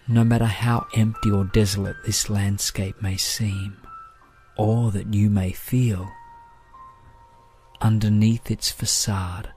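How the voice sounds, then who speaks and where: A man guides a meditation in a low voice. His delivery is very slow, gentle and soothing, each phrase measured. Soft music plays faintly behind him. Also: vocal fry.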